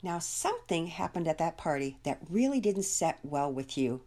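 Woman playing concerned mother, measured, gently reproving, expressive pitch, audiobook character. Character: caring but firm mother, concerned and reproving.

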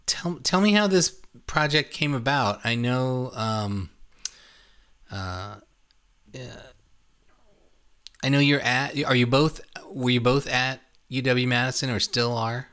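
A man hosting a casual film interview, phrasing his questions in a halting, reflective way. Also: medium pitch, a bit bright, smooth. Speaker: interview host.

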